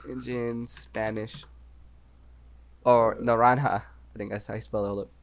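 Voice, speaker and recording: A man's voice: fairly low pitch, level tone, moderate pace, gravelly. A young man co-hosting a podcast, talking casually and rambling, often asking "you know what I mean". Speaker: podcast co-host. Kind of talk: casual chat.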